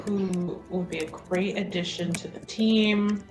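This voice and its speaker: A woman's voice: medium pitch, fairly even intonation, measured pace, music in the background. A woman playing a tabletop roleplaying game, speaking casually and tentatively as she improvises. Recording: roomy echo.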